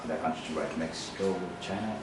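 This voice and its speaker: A man's voice: rumbling low, brisk. A man asking interview questions in a casual way, often murmuring along while the guest talks. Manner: frequent soft backchannel murmurs. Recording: distant mic in a very echoey room with noise.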